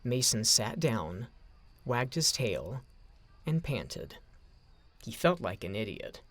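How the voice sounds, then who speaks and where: A man's voice: medium pitch, big pitch swings, slow pace, fairly bright tone. A man narrating a scripted story as an audio drama narrator.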